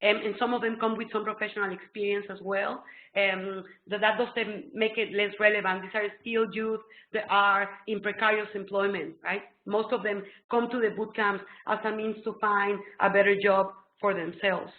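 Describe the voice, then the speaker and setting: A middle-aged woman with a Mexican Spanish accent presents a webinar from a small room, her Spanish roots clear in her English. She explains eagerly, with plenty of ums. The sound is thin and phone-like, with a roomy echo. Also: medium pitch, normal speed. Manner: excitable, explanatory, full of ums. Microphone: laptop webcam video call. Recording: tinny phone-like echoey webcam call.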